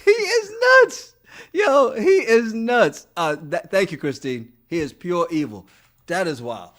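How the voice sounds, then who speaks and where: A man's voice: high-pitched, big pitch swings, unhurried, fairly bright tone. A man co-hosting a casual podcast, chatting loosely, reading viewer comments aloud, laughing often and repeating words. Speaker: podcast co-host. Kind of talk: casual chat reading live chat.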